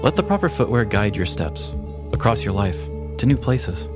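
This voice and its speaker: An American man with a low voice hosts a podcast, moving between scripted storytelling and casual talk. Music plays clearly behind him. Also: big pitch swings, moderate pace. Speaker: podcast co-host. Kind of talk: scripted true crime narration and casual banter.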